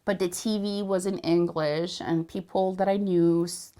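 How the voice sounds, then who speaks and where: A woman's voice: on the low side, steady tone, unhurried, mellow. A woman in her thirties, a native Spanish speaker, hosting a casual interview and gushing with praise, with frequent "like" fillers. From Latin America, Spanish-speaking. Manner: effusive, many "like" fillers. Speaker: host.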